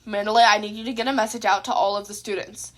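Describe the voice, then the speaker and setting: A woman acts out a stern grown man, a hero and teacher in a fan audiobook. The pitch is dropped from the reader's own but stays fairly light. Delivery is curt and commanding, with worn-thin patience. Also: steady tone, fast talker, close to an everyday voice. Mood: urgent, commanding. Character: stern, exasperated adult male teacher and hero.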